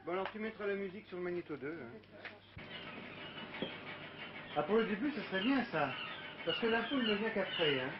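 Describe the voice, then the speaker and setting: A man working on sound effects in a studio session, casual and brisk. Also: high voice, expressive, muffled recording. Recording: roomy old studio tape with loud hiss.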